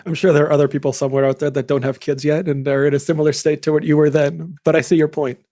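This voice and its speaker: A man's voice: fairly high pitch, flat delivery, fast talker, dark tone, dry recording, studio quality. A man hosting a podcast, asking questions in a curious, conversational way. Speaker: podcast host. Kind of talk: casual interview chat.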